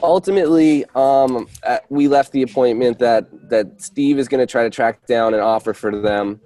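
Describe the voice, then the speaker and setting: A man with a relaxed, mellow voice at a middle pitch gives an informal report as a real estate agent on a work call. He speaks haltingly and restarts his sentences often. The sound is dry, with little room around it. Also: dry recording. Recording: dry conference call audio.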